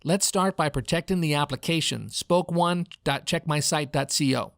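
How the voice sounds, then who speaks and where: A man with a General American accent reading a scripted product demo in a clearly enunciated, instructional way, as a voice-over narrator in a home studio. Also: fairly high pitch, normal speed, a bit bright, dry recording. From United States.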